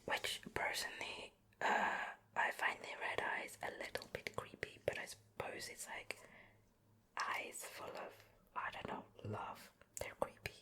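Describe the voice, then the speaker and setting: Whispered female voice, native Swedish speaker, breathy, unhurried, casual and rambling, ASMR show and tell. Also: pushed and bright. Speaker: ASMR video host.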